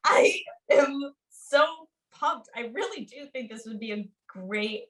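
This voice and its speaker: Slow-talking woman in casual chat. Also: a little high, lively intonation, echoey room, distant mic. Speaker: podcast panelist.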